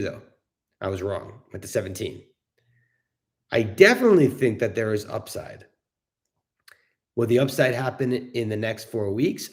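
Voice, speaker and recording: A middle-aged man giving casual, opinionated market commentary as an investor hosting a discussion, explaining his points with emphasis. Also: fairly low pitch. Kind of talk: casual off-the-cuff market commentary with audience questions.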